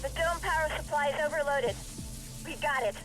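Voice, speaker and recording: Woman as high-voiced, confident game scientist. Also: a little fast, natural voice, low-quality recording. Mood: tense, determined. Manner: precise, technical. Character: brisk, confident female scientist aiding soldiers in battle. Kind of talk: sci-fi game voice acting. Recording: loud music and battle sounds behind.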